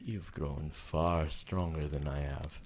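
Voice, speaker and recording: Casting: man to play romantic, devoted vampire for ASMR roleplay. Rumbling creaky bass, slow formal delivery, possessive tenderness, slight noise. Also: fairly even intonation, full character voice. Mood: possessive, tender.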